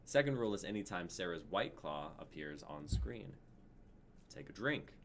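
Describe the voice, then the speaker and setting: A man's voice: low-pitched, sing-song, normal speed, a bit bright, a little hiss or hum. A man in his twenties casually hosting a live stream on a group video call. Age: 20s. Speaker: stream host.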